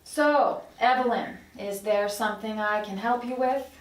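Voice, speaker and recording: A woman acting a brisk, sardonic secretary in her sixties in a table read of a TV dramedy, with a dry, officious manner. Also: a little high, natural voice. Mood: dry, weary. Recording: roomy echo, distant microphone.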